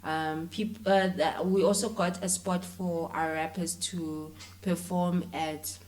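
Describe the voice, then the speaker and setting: A woman's voice: on the low side, fairly even intonation, takes it slowly, darkish tone, low-quality recording. A South African woman talking casually and animatedly as an interview guest, often dropping in "like" and "you know". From Mpumalanga, South Africa. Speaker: interview guest, actress, musician and filmmaker.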